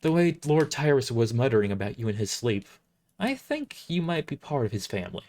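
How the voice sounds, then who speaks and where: Audio drama role: kind big-sister healer woman, soft-mannered and caring, played by a man. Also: medium pitch, sing-song, brisk. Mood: gentle concern. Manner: gentle, caring. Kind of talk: amateur audio drama character reading.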